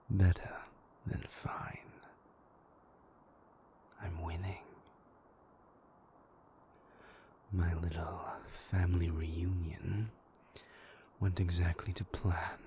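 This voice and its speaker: A man voicing a moody, possessive yet tender vampire lover in an intimate roleplay audio, speaking in formal, measured phrases. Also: sits low, dark tone, a little hiss or hum. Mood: dry, smug.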